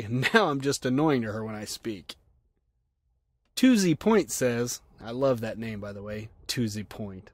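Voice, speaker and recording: A man's voice: mid-range voice, dry recording, clean studio sound. A man hosting a podcast, reading out listener comments and answering them with wry, self-mocking jokes. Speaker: podcast host.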